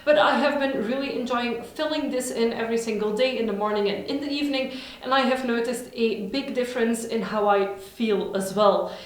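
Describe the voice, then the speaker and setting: A woman who speaks Dutch as her first language, chatting upbeat and enthusiastic in a casual vlog at home. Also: a little high. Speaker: vlogger. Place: home room. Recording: very echoey room.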